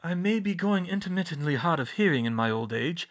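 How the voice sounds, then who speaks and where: A man doing a calm, gentle old headmaster wizard. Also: on the high side, muffled recording, voice pushed up. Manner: calm, measured, formal.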